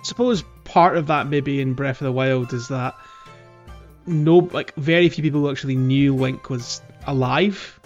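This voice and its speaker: A man with a mid-pitched voice talks casually as a gaming podcast co-host. He feels his way through sentences conversationally. The recording is a little dull, with music faintly underneath. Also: measured pace. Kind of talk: casual video game discussion. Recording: dull lo-fi audio, music behind.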